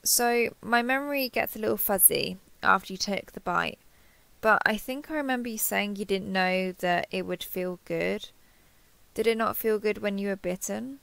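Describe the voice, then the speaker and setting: A woman's voice: medium pitch, creaky, a bit bright, no echo. A woman playing a kindhearted, awkward, easily flustered human in an intimate roleplay audio, hesitant and stammering as she asks curious questions. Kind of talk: intimate roleplay audio acting, one side of a conversation.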